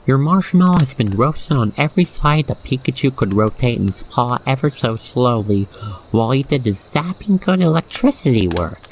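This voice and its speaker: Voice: medium pitch, big pitch swings, normal speed, poor audio. A storyteller narrates an ASMR roleplay directly to the listener with playful, wordy storybook phrasing. The recording has faint noise with crickets and insects behind the voice. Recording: faint background noise, cricket night ambience.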